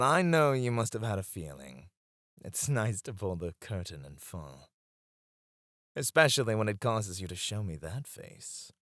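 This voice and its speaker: Low-voiced, teasing court advisor, played by a man, close-mic ASMR, lively inflection, hushed asides. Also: no echo. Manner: soft-spoken, dropping to a hush on titles; teasing and confiding. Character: scheming male royal advisor, smooth and devoted. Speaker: voice actor. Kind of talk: ASMR roleplay.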